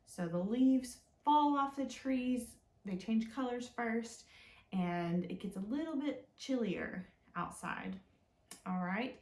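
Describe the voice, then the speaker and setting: A woman's voice: medium pitch, darkish tone. A woman hosting a children's storytime, reading and explaining in a sing-song way with simple, encouraging questions. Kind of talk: read-aloud and teaching for young children. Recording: echoey room, mic at a distance.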